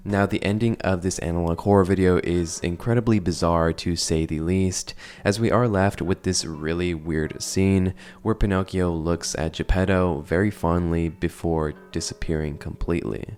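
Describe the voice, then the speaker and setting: A man's voice: low voice, steady tone, moderate pace, few pauses, a little mellow. A man narrating a casual, analytical commentary on horror videos.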